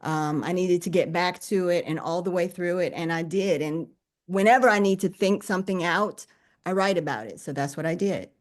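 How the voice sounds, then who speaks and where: A woman author telling her own story on a panel in a conversational, deliberate and reflective way. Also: on the low side.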